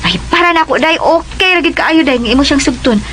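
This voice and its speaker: A woman's voice: high-pitched, fast, fairly bright tone, slight background noise. A woman playing a teasing, nudging teenage friend in a Cebuano radio drama, with a Cebuano Filipino accent. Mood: teasing, urging.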